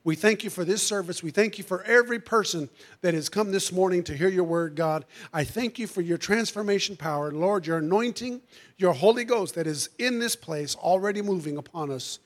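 A preacher man with a notably high voice delivers a fervent church sermon. His voice is going hoarse, and he peppers his lines with "amen" and "hallelujah" while calling out to the congregation. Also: a little fast. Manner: calls out to the congregation. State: losing his voice. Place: church sanctuary.

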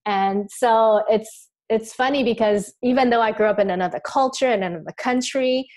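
A woman's voice: on the high side, moderate pace, a little mellow. A woman from Taiwan, an author being interviewed, speaking in a reflective, thoughtful way. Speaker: author and guest. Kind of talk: interview conversation.

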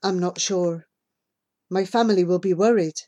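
A woman narrator puts on a country boy from an audiobook adventure. He is a wary young goatherd, quick to challenge strangers. His manner is suspicious. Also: on the low side, dark tone, close to an everyday voice. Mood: hesitant. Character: wary country boy goatherd, a little older than the hero.

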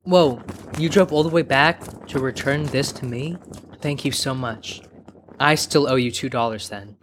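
A man plays a humble street seller in a children's story, winded and thankful. His mid-pitched voice comes with faint noise behind it. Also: steady tone, moderate pace, a bit bright, close to an everyday voice. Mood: winded, surprised. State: out of breath. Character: grateful, humble street candy seller on crutches. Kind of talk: children's audio story dialogue.

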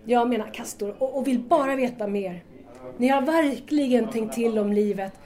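A woman's voice: fairly high pitch, dark tone, lightly acted. A woman playing an eager, admiring young student in an audio drama, curious and deferential.

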